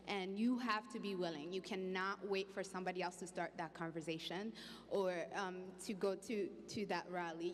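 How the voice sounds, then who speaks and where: Young woman, from Congo, earnest panelist, hall reverb, faint hum behind. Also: medium pitch. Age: young adult. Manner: earnest, outspoken, with restarts. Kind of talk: panel discussion. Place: large event hall stage. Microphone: handheld mic.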